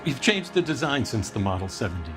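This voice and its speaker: Defensive company boss, medium pitch. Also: male voice, sing-song, fairly quick, fairly bright tone, soft background music. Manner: matter-of-fact, defensive. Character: defensive, harried male heater company boss. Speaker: heater company manager. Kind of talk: video game dramatic acting.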